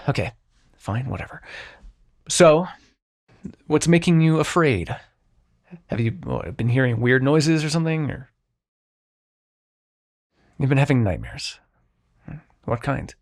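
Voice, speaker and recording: Immortal male dream spirit for ASMR roleplay, played by a man: gruffly caring, expressive pitch, fry-edged, mid-range voice. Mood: exasperated, grudging. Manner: brusque, exasperated, halting, softening into concern. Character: grumpy, reluctantly kind immortal male dream-bringer.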